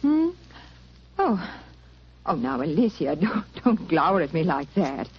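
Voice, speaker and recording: Cheerful, lilting British wife. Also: female voice, low voice, very animated, measured pace, slightly rough, heavily acted. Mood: breezy, soothing. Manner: chatty, lilting, reassuring. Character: cheerful, unflappable, motherly doctor's wife. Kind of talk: 1940s radio drama acting. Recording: muffled 1940s radio recording.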